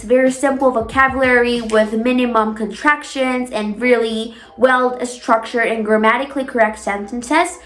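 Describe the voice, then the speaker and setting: A young woman whose native language is Spanish, talking casually as a vlogger giving tips, stressing points with drawn-out words and repetition. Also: fairly high pitch. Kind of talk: casual talk to camera. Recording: echoey room.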